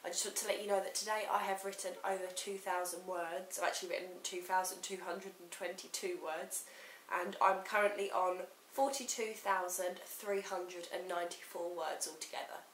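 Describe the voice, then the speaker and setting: Woman, croaky with a cold, casual rambling vlogger; roomy, off-mic sound. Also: on the low side, distant mic. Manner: rambling, talks straight to the camera. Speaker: writing vlogger. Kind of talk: casual chatty vlog update. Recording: roomy echo, off-mic, faint hiss.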